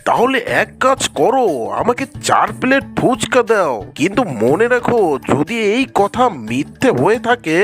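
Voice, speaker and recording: A woman's voice: fairly high pitch, very animated, normal speed, soft background music. A woman voicing a stern, greedy rich customer in a children's cartoon, with a demanding manner. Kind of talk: children's cartoon character acting.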